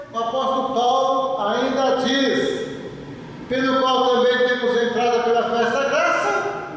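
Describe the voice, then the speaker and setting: An older man with a Brazilian Portuguese accent preaching a sermon as a pastor, emphatic, repeating words and drawing phrases out slowly for stress. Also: very high voice. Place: church. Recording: echoey church hall from far off, with noise.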